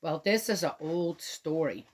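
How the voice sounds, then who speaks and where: A woman from Switzerland chatting gently to young children and reading them a picture book aloud. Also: low voice, animated, unhurried. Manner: gentle, chatty, talks directly to young listeners. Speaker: children's storyteller.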